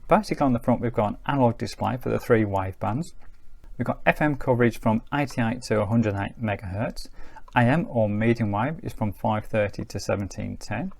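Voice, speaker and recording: A man's voice: medium pitch, gravelly, darkish tone. A man giving a casual hands-on gadget review in a chatty, unscripted, explanatory way. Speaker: product reviewer.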